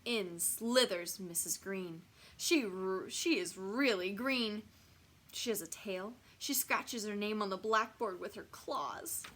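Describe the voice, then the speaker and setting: A woman reading a children's bedtime story expressively, with dramatic, deliberate phrasing and pauses for suspense. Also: medium pitch, a bit bright. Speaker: storybook reader.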